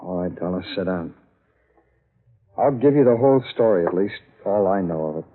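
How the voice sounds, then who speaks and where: A jittery photographer with a past stammers and defends himself. His voice sits a bit low. It all comes through a thin, phone-like old radio broadcast. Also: expressive, normal speed. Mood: defensive. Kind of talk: 1950s radio drama acting.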